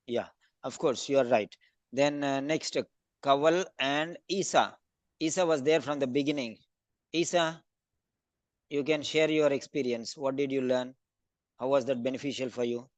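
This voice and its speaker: A man teaching an online speaking class over a video call, briskly calling on students by name for feedback. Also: medium pitch, steady tone, dry recording.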